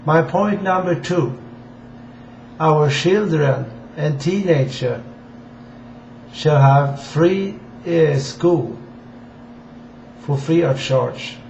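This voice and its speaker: A man from Sweden with a Swedish accent gives an informal political address in halting, deliberate non-native English. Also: fairly high pitch, creaky, a little mellow. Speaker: amateur political campaigner addressing viewers. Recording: dull, echoey room with faint hiss.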